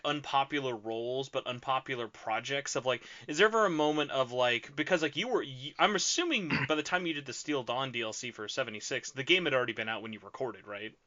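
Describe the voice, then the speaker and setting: A man's voice: mid-range voice, animated, fairly quick, pushed and bright. A man hosting a podcast, asking long rambling questions full of fillers. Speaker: podcast host. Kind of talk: casual podcast interview. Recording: dull lo-fi podcast audio.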